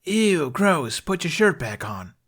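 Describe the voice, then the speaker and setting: A man's voice: a little high, very animated, slow, a bit bright, breathy edge, voice pushed up, heavily acted. A man voicing a mischievous young female troublemaker in a storybook, flippant and teasing. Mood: snarky, teasing.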